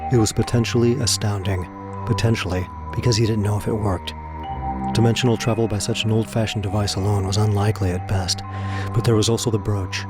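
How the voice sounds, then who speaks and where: A man's voice: on the low side, fairly even intonation, fairly quick, over music. A man delivering scripted, dramatic story narration for a science fiction audio drama.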